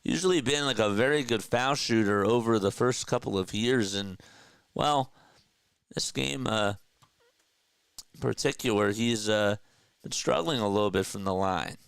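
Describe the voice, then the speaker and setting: A man with a stuffy nose calling live basketball play-by-play in an energetic, chatty way, talking to his viewers between plays. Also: lowish voice, moderate pace, creaky, pauses often, clean tone, dry recording. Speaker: play-by-play caller.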